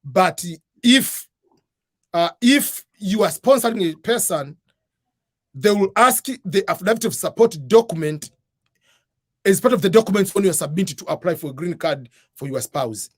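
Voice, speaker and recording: A man hosting a livestream and answering viewer questions in a relaxed, rambling way. His voice sits a bit high for a man. He keeps adding "whatever" as he talks, and the sound is very dry and close to the mic. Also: normal speed, no room sound. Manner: informal, peppered with "uh" and "whatever". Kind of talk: casual advice chat.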